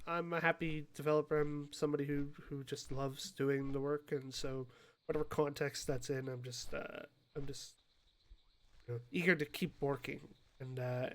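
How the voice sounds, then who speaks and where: A man with a cold, sniffly and a bit stuffed up, chatting casually and hesitantly while playing a game, with lots of fillers and restarts. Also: a little high. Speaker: gaming YouTuber.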